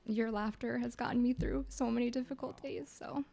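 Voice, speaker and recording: A soft-spoken woman, a graduating resident, giving a short, heartfelt thank-you speech. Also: on the high side, steady tone, fairly quick, gravelly. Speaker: graduating internal medicine resident.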